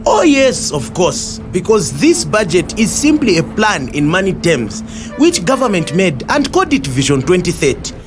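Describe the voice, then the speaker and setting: An earnest Zambian passenger in a radio play, acted by a man, patiently explaining with emphasis. His voice is high and swings animatedly, with music clearly behind it. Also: sing-song, normal speed. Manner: emphatic, explanatory, repeats himself. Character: earnest, well-informed male bus passenger explaining patiently. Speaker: actor in an audio drama. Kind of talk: acted audio drama dialogue.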